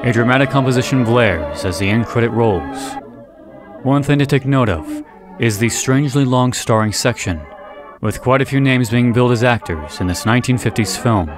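Male video essay narrator, mid-pitched, measured and deliberate scripted read, music underneath. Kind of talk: scripted narration, horror series analysis.

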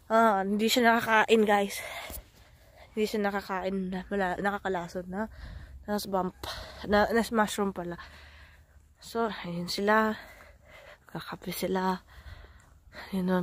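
A woman's voice: medium pitch, level tone, a little slow, pauses often. A woman from the Philippines, feeling sick, chatting casually on a travel vlog, hunting for words and mixing languages. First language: Tagalog. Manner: chatty, switches between English, Tagalog and Swedish, searches for words. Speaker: vlogger. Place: outdoors at a rural estate.

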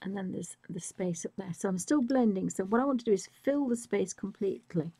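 A woman demonstrating a colouring technique live, a bit croaky from a chest infection, speaking softly and musing, often trailing into whispers. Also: medium pitch, expressive, a little fast, dry recording. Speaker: art tutor.

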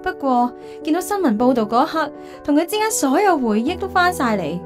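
Wistful career woman, high pitch, Hong Kong Cantonese accent, radio drama, reflective, music behind. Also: very animated, brisk. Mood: sorrowful, reflective. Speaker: lead actress and narrator. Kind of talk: radio drama narration and dialogue. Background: background music.

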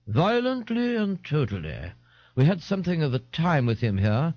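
A pompous gentleman with an affected posh London accent. He enunciates haughtily, as in a comic audiobook. His pitch rises and swoops in a dry recording. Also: big pitch swings, moderate pace, no echo, highs cut off. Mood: haughty. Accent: posh London English. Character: pompous fake-posh gentleman, an assumed telephone voice. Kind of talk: audiobook character voice.

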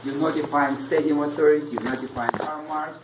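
A man leads a tour with informal explanations. His fairly high voice comes from some distance through strong echo in a big indoor space. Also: steady tone, fairly quick. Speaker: tour guide. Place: inside a large stadium building. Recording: echoey, distant, very noisy phone recording.

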